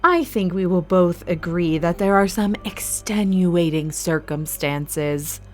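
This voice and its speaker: Low-voiced, commanding woman in character over music. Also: slow, a little mellow. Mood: defensive, controlled. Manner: formal, clipped, authoritative. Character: commanding, calculating female fight-arena master, suspicious and ruthless. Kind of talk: audio drama acting. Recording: music bed under the voice.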